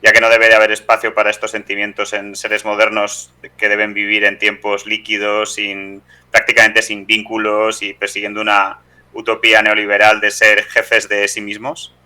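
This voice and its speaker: A young man with an accent from Spain, asking long, halting interview questions in a conversational style. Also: on the low side, monotone, pushed and bright, clear, resonant tone, low-quality recording. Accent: Spanish from Spain. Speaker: radio interviewer.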